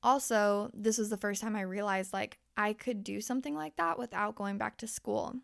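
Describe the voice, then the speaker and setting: A woman's voice: medium pitch, moderate pace, creaky, dry recording, clean studio sound. A young woman podcast host and life coach who is losing her voice. She talks candidly and swears freely as she speaks straight to her listeners. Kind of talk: casual motivational podcast monologue.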